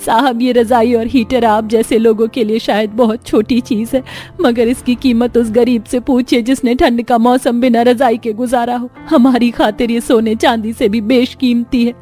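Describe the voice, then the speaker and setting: A woman's voice: fairly high pitch, little pitch movement, normal speed, warm tone, soft background music, natural voice. A woman playing a kind, humble young daughter-in-law in a cartoon, speaking earnestly and pleadingly. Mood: tearful gratitude.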